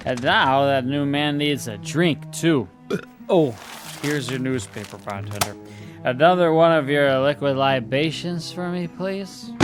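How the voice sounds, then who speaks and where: A man's voice: medium pitch, unhurried, close to an everyday voice. A man playing a convivial barfly in a radio drama, spinning out his words in a genial, flowery manner. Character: convivial, wordy male barfly storyteller. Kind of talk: dramatized radio-play dialogue. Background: faint music.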